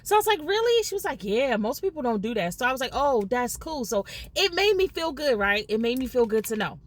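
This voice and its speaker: An American woman in her late thirties chatting casually to viewers as a vlogger, telling stories in an animated way and re-enacting conversations. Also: sits high, a little fast. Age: late 30s. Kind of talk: casual storytelling chat to viewers.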